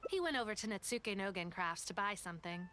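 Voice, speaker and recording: A woman's voice: medium pitch, animated, fast talker, fairly bright tone, faint music behind, natural voice. A woman playing a concerned, earnest young boss in a video game. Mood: relieved, reflective.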